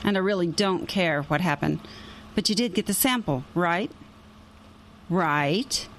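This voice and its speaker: A woman's voice: fairly low pitch, unhurried, slight background noise. A woman playing a nosy, coaxing investigator in a radio drama, with a wry, persistent manner. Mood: wry, prying.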